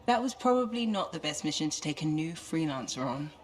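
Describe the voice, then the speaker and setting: A woman's voice: deep voice, expressive, fairly quick. An actress playing a curious, determined female mission specialist in a video game, with a wry, questioning manner. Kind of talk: video game cutscene acting.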